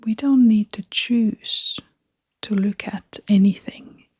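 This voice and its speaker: A woman leading a guided meditation in a gentle, hushed, breathy voice, leaving long pauses between short phrases. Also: mid-range voice, dry recording, clean studio sound. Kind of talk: guided meditation, soft-spoken.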